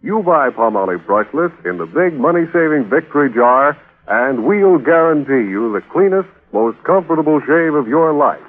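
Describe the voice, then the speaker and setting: A man's voice: a little high, lively intonation, normal speed. A man announcing a commercial in an emphatic, polished 1940s radio sales style. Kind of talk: 1940s radio advertising pitch. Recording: tinny old radio recording.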